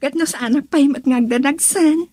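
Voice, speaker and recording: A woman's voice: high-pitched, a little fast, mellow, dry recording, lightly acted. A woman playing a loving young wife, plaintive and tender, in an Ilocano radio drama. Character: loving, wistful young wife, hurt by her father.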